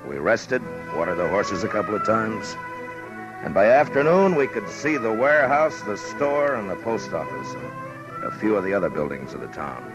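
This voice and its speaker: A man's voice: fairly low pitch, big pitch swings, dull audio. A man acting a stern, weary frontier lawman who narrates his story tersely in a 1950s radio western. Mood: easy, matter-of-fact. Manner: terse, grave, deliberate storytelling. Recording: vintage 1950s radio recording with music.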